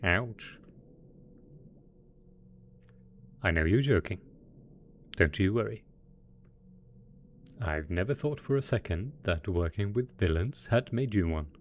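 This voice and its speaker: A man's voice: on the low side, lively intonation, a little slow, pauses often. A man voicing a melodramatic, possessive mad scientist supervillain boss in a roleplay audio drama, smug and coaxing in manner. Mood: amused, teasing. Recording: faint background noise.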